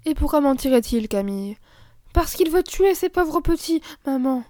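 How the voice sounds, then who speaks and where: A woman doing a calm, gentle mother. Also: high-pitched, normal speed, dry recording, studio quality, pitched above the performer's own voice.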